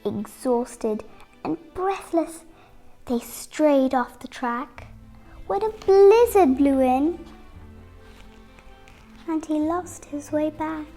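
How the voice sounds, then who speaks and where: An eight-year-old girl reading a children's picture book aloud, expressively and with deliberate pauses. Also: sits high, lively intonation, mellow, soft background music. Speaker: young storybook reader.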